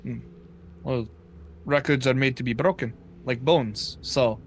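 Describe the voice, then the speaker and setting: A man speaking in character at a tabletop game, hesitant and filling pauses with uhs. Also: medium pitch, a little hiss or hum. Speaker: player. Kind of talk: in-character tabletop roleplay chat.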